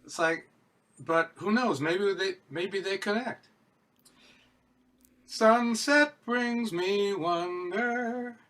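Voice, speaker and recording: A man's voice: high voice, drawn-out words, pauses often, a bit bright. A man in a workshop over a video call, musing casually as he reads his lyric lines. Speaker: workshop participant.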